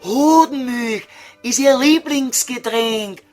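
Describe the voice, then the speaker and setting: A man's voice: extremely high pitch, takes it slowly, a little mellow, faint music behind. A man voicing a sly, crude devil who coaxes and mocks in Viennese dialect, in an audio comedy sketch. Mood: coaxing, mocking. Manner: coaxing, vulgar, mocking.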